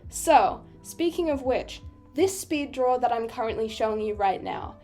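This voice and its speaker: A young woman with an Australian accent and a fairly high voice talks loosely and warmly about her work, poking fun at herself and breaking into little laughs. Soft music sits faintly underneath her narration. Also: animated, unhurried. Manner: chatty and self-deprecating, laughing often between thoughts. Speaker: digital artist. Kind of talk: casual voice-over commentary over a speedpaint. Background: faint background music.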